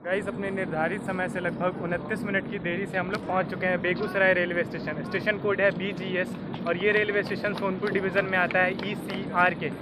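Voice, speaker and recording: A man's voice: high-pitched, level tone, brisk, few pauses. Young man vlogging from a train platform. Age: young adult. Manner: informative, rattles off station facts and numbers. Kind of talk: casual travel vlog narration. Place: outdoors on railway platforms and at the train door. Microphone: phone held at arm's length, selfie framing. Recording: loud station and train noise.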